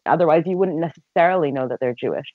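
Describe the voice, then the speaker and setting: A woman's voice: low voice, fast, no room sound. American woman on a thin phone-like line. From United States. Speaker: literary agent. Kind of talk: podcast panel discussion.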